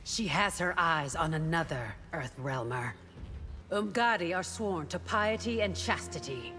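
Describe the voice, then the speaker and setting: Stern, dry warrior priestess. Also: female voice, fairly low pitch, lively intonation, a little slow, music in the background. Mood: stern disdain. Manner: formal, dry, severe. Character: stern, devout warrior priestess, unimpressed by flirtation. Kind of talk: video game fight-intro banter.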